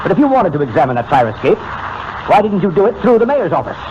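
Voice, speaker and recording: A scornful hothead crusader, 40s radio drama, quick and swoopy, muffled old recording. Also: male voice, high-pitched, sing-song, background noise. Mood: accusing. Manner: blunt, challenging, clipped. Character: hot-headed, scornful male crime campaigner. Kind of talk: 1940s radio acting.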